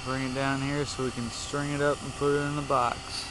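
A man's voice: mid-range voice, flat delivery, moderate pace. A man giving matter-of-fact, casual running commentary as he films a bee removal outdoors under trees. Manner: plain, matter-of-fact play-by-play. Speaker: camera operator narrating a bee removal. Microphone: handheld camera mic. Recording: camcorder audio with clear hum and background noise.

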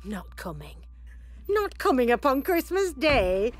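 A woman playing a doting, cheerful mother in a Christmas audio drama, tender and animated. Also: high voice, unhurried, soft background music. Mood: merry, teasing.

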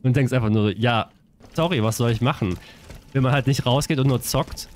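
A man's voice: medium pitch, a little mellow, a little hiss or hum. A man in his late thirties chatting casually and self-deprecatingly while playing a game. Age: 30s. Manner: reads game text aloud haltingly. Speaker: let's play streamer. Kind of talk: casual gaming commentary. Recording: game music behind voice. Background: game music.

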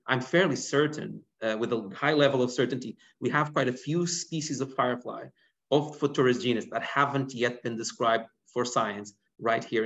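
A man in his thirties, originally from Portugal, answering audience questions as a guest biologist in an online lecture, talking animatedly. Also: mid-range voice. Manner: animated, gesturing while explaining. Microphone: laptop webcam mic on video call. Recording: dull lo-fi video call audio.

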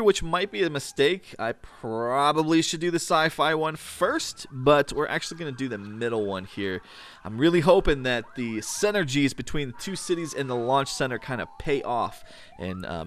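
A man talks through his city-building game in a loose, rambling way, full of fillers. His voice sounds a bit worn and raspy, and his pitch swings up and down a lot as he gets excited. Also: slight background noise. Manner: chatty, enthusiastic, rambling with fillers. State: slightly raspy, tired voice. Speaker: let's play host. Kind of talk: casual gameplay commentary.

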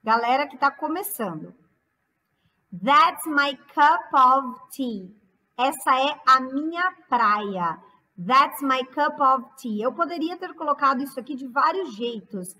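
A woman's voice: high voice, animated, takes it slowly, pushed and bright, rough recording. A woman in her thirties with a Brazilian accent, giving a chatty, informal English lesson as an online teacher, translating line by line and repeating phrases for emphasis. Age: 30s. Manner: chatty, instructive, emphatic repetition.

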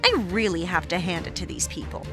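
A woman's voice: lowish voice, a little fast, a bit bright, soft background music. An actress playing a wry, watchful female friend and manager in an audio drama. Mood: dry.

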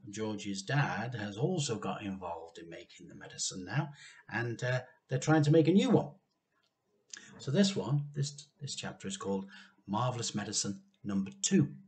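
A man in his fifties reading a children's story aloud, animated and emphatic, drawing out key words. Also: on the low side. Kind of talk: expressive children's storybook narration. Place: small living room indoors.

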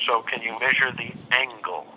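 A man's voice: mid-range voice, moderate pace, sharp tone. A man answering a UFO reporting hotline on a phone call, patient and formal, often saying "sir" and "please".

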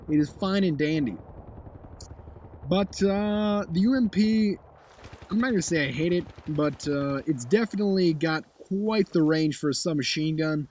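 A hoarse young man commenting on his own gameplay footage, blunt and sarcastic, swearing now and then. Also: sits high, a little mellow, a little hiss or hum. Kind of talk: casual game commentary over footage.

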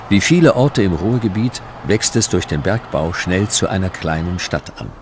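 A man reading scripted history narration as a documentary narrator, in measured, dramatic short sentences. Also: low voice, noisy background.

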